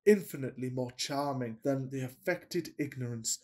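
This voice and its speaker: A man playing a pompous, lovestruck Victorian gentleman in a farcical radio play, declaiming in florid, earnest speeches. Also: medium pitch, normal speed, lightly acted. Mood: smitten.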